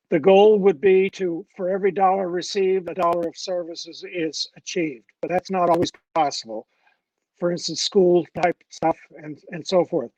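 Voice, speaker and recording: An older man gives a candidate's speech at a public forum, halting and earnest, often stumbling and restarting his words. His voice sits a little high for a man. It sounds dry and set back from the microphone. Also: no echo, recorded from a distance, low-quality recording. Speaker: mayoral candidate.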